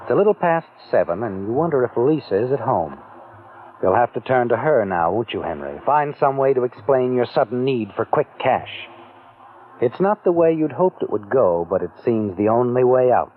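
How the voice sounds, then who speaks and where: A man's voice: medium pitch, big pitch swings, moderate pace. A man narrating a radio mystery in the second person, teasing the listener with insinuating questions. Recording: tinny old radio broadcast.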